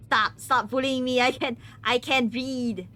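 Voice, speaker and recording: Woman streamer, bright higher voice, giggly and stumbling, casual chat. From Malaysia. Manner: giggly, stumbles and repeats words. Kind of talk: casual chat with viewers during a game stream.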